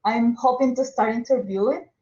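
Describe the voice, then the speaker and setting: A woman's voice: on the high side, normal speed, dark tone, echoey room, dull audio. A woman from Colombia with a strong Colombian Spanish accent, a native Spanish speaker, politely asking a question. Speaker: audience member asking a question. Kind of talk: recorded video question.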